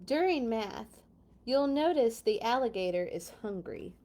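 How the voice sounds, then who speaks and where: A woman reading a children's storybook aloud in a playful, expressive way, with casual chatty asides. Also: fairly high pitch, measured pace. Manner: playful, expressive, exclaims and comments while reading.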